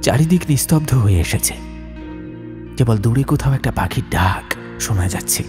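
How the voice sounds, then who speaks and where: Animated male horror storyteller over music. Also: medium pitch, moderate pace. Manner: suspenseful, theatrical phrasing. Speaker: audiobook narrator. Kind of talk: dramatic scripted horror narration.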